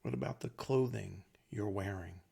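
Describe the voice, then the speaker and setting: A man leading a guided meditation, speaking gently in short phrases with long pauses between them. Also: on the low side, creaky, breathy edge. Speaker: meditation teacher.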